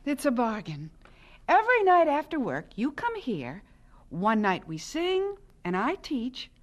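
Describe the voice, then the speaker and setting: A genteel, eager married lady in an audio drama. Her voice sits a bit high and swoops dramatically, fluttering with exclamations and kindly bossing. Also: wildly swooping pitch, unhurried, exaggerated voice. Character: genteel, eager, encouraging married woman, amateur painter and singing teacher.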